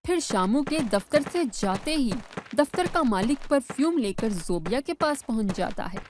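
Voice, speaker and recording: A woman's voice: a little high, lively intonation, moderate pace, noisy background. A woman reading scripted storybook narration as the narrator.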